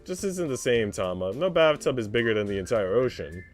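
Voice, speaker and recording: Guy reading a calm adult in a visual novel, teasing but gently firm, mid pitch, faint music. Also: brisk, lightly acted. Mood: reasoning. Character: calm, teasing grown-up reasoning with a child.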